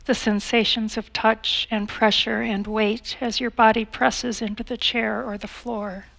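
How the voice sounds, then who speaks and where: A woman leading a guided meditation as an instructor, speaking calmly in measured phrases with long pauses. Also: a little high, gravelly, poor audio.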